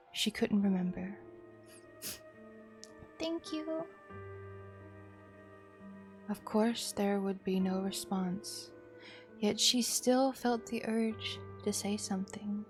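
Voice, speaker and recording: A woman streamer reading a horror visual novel aloud as expressive narration. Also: mid-range voice, a little slow. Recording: music behind the voice. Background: music.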